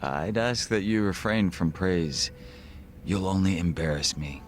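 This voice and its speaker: An actor playing a composed, formal gangster second-in-command in a video game cutscene, measured and reserved. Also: male voice, low-pitched, slight background noise. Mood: dryly composed.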